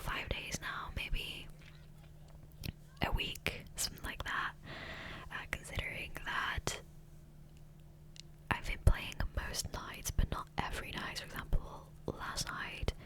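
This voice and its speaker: A woman whispering softly and breathily in a casual, rambling ASMR gameplay chat, close to the microphone. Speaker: ASMR video host. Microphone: close USB condenser microphone.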